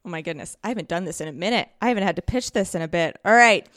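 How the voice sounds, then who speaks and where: A woman's voice: fairly low pitch, racing, fairly bright tone, dry recording, studio quality. A woman chatting casually as a guest on a podcast. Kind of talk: casual chat.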